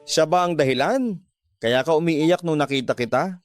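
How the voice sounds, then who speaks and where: A man's voice: fairly high pitch, moderate pace, natural voice. Guy voicing jealous lovestruck young filipino man, radio love drama, pushy and intense, music behind. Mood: probing, jealous.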